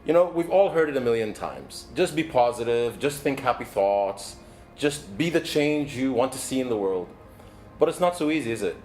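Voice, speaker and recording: A man in his forties from Egypt, a native Arabic speaker, delivers a motivational keynote as a coach in an earnest, measured, deliberate way. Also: medium pitch, animated, a little mellow, faint music behind. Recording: background noise, ambient sound.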